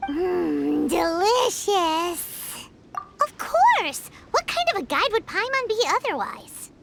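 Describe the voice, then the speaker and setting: A woman voicing a small, childlike, cheerful and excitable fairy guide in a video game, with a squeaky voice and exaggerated exclamations. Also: slow pace, creaky, heavy background noise, full character voice. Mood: eager, delighted. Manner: refers to herself in the third person, exaggerated exclamations. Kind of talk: animated video game character acting.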